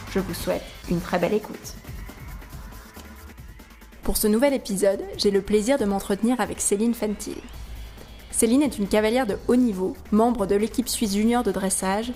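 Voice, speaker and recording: A woman hosts a podcast, speaking in a clear, measured voice of middling pitch. Faint music plays softly behind her. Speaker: podcast host. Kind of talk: scripted intro, then interview questions.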